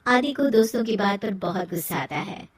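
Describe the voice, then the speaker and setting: A woman's voice: medium pitch, lively intonation, moderate pace. A woman reading scripted narration as the storyteller of a moral tale. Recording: roomy echo, distant from mic.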